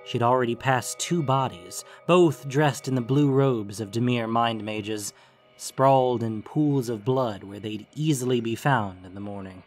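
A man's voice: medium pitch, unhurried, faint music behind. Male narrator of a fantasy story.

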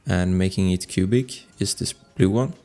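A man presenting a casual software tutorial as a voice-over, explaining informally step by step into a close microphone. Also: low voice, normal speed. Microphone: close desktop microphone voice-over. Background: music.

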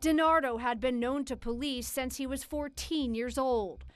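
A woman's voice: high-pitched, fairly even intonation, moderate pace. An American woman reading scripted true-crime documentary narration in a news style.

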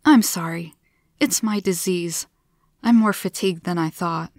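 A woman's voice: on the low side, unhurried, a little mellow, no echo, clean studio sound, lightly acted. A woman voicing a friendly, candid, easily tired woman with an earnest, emphatic manner in a storybook. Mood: apologetic, resigned. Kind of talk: storybook character voice.

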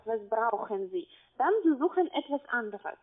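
A woman with a foreign accent speaks over a narrow, tinny phone line. She is a call-centre caller, insistent in manner, piecing sentences together in halting non-native phrasing. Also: fairly high pitch, expressive. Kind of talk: phone conversation.

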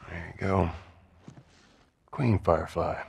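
A man playing a gruff, weary middle-aged smuggler in a game cutscene, terse and sardonic. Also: deep voice, takes it slowly, exaggerated voice. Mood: sardonic, wary. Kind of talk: video game cutscene acting.

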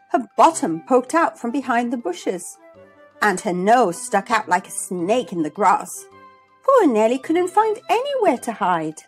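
A woman hosting a children's read-aloud, narrating a storybook expressively and deliberately. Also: fairly high pitch. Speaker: host and storybook reader. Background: music.

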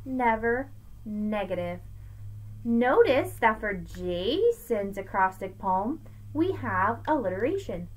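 A middle-aged woman teacher giving an expressive, emphatic read-aloud lesson for children, recorded on a webcam in a room at home. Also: a little high, slow pace, rough recording. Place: small room at home with bookshelves, indoors. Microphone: webcam at arm's length.

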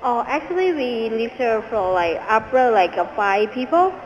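Female restaurant employee, halting casual phone talk, replayed in a reverberant hall. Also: a little high, animated. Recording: phone call replayed in echoing hall, faint noise.

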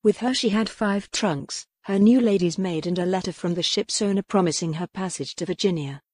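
A woman's voice: lowish voice, a little fast, darkish tone, husky, no room sound. Dry-sounding female audiobook narrator. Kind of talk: scripted narration of a historical romance.

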